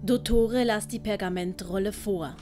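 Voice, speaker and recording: A woman's voice: mid-range voice, normal speed, a little mellow, faint music behind. A woman reading a scripted story as audiobook narrator.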